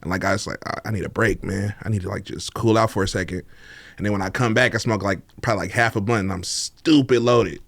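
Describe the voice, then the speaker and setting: A man's voice: fairly low pitch, fairly even intonation, fast, gravelly, fairly bright tone, dry recording. A laid-back man, a podcast guest chatting casually and laughing often, with many fillers. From Oakland, California. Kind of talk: casual interview chat.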